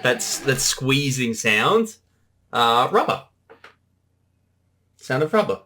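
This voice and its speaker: A creator chatting casually through a commentary track, in an animated, rambling way. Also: medium pitch, slow. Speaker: creator giving commentary, voice actor. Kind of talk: casual commentary chat between two creators.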